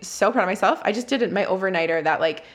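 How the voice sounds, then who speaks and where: A woman, co-hosting a casual chat, slightly raspy and quick to laugh. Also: mid-range voice. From Ontario, Canada. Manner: chatty, laughs often, lots of "like". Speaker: podcast co-host.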